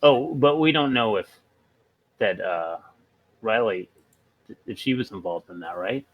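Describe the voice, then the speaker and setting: A man playing a tabletop role-playing game, talking casually and haltingly as he works things out. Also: lowish voice, lively intonation, muffled recording. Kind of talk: casual in-character role-play chat.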